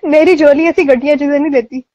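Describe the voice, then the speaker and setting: A woman with a high-pitched voice talks on a muffled phone line. She is sarcastic and questioning, in casual conversation. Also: steady tone, brisk, mellow. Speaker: call recipient. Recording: muffled phone call recording.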